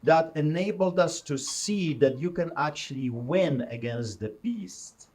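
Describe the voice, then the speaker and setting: Fiery Polish-accented preacher, higher voice. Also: male voice, expressive, a little slow. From Poland. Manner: forceful, mocking, rising to shouts. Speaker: street pastor.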